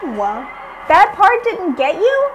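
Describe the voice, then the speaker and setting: A woman's voice: extremely high pitch, highs cut off, background noise. A woman co-hosting a podcast, chatting casually and teasing her partner. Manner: teasing, animated, quick to laugh. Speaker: podcast co-host. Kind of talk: casual chat.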